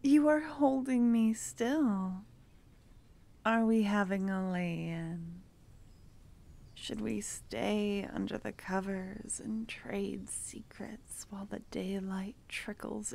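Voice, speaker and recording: Woman voicing a young necromancer lover, soft whispers, very slow, mellow tone, playful. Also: mid-range voice, creaky. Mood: tender, teasing. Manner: soft, intimate, often whispered. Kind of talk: ASMR roleplay.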